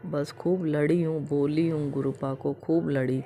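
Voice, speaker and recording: Hoarse, gravelly-voiced devotional woman. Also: deep voice, steady tone, measured pace, mellow. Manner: emotional, repetitive, chant-like. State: hoarse throat. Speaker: devotee sharing a testimony. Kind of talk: devotional thanksgiving testimony.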